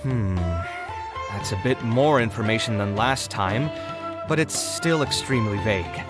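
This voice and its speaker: An actor playing a frank, articulate, slightly exasperated architect in video game dialogue. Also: male voice, on the low side, a little slow, over loud music, lightly acted. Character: adult male architect, frank, weary, slightly exasperated professional.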